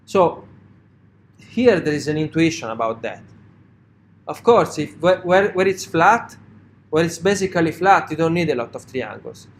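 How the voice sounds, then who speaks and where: Italian-accented man lecturing, halting. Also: a little high, a little hiss or hum. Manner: halting, many fillers and "right?" checks. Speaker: university lecturer. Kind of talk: classroom lecture.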